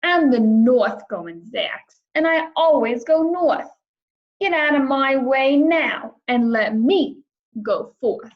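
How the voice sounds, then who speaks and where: A woman's voice: high voice, lively intonation, slow pace, highs cut off. A woman voicing a stubborn, proud male storybook creature who makes bossy, indignant, emphatic declarations.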